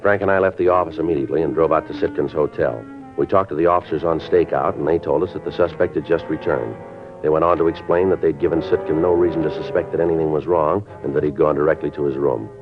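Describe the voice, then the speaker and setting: A man acting a terse, stoic police detective, speaking in a clipped, matter-of-fact deadpan in 1950s radio drama style. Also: extremely low pitch, fast, music in the background. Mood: businesslike. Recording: dull vintage radio recording.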